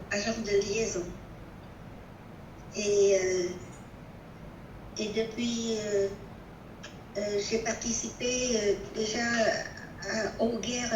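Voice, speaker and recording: A woman in her eighties with a Vietnamese accent gives personal testimony at a conference, halting as she searches for words. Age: 80s. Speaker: conference speaker. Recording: distant, echoey, noisy with rumble.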